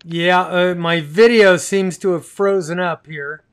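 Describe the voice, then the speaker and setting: A middle-aged man with a notably high voice hosts a live online chat. He speaks slowly and haltingly, with a courteous, casual manner toward his guests. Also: steady tone. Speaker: live show host. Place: small home office, indoors.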